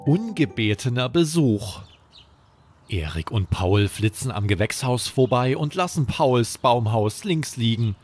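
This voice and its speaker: A man's voice: medium pitch, expressive, measured pace, darkish tone, soft background music. A man narrating a scripted bedtime story for children as the host.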